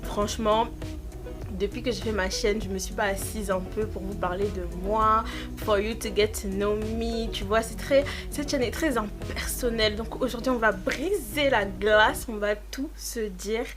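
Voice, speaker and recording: A young woman in her twenties from Côte d'Ivoire chats casually to camera. She laughs often and mixes English words into her talk. Clear background music plays under her voice. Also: medium pitch, measured pace. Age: 20s. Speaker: YouTuber. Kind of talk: casual vlog chat to camera.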